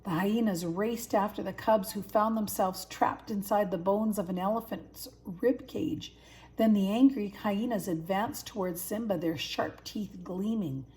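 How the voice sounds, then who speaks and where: A woman's voice: medium pitch, measured pace, dark tone, poor audio. An older woman, a grandmother, narrating a children's story. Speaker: grandmother reading stories.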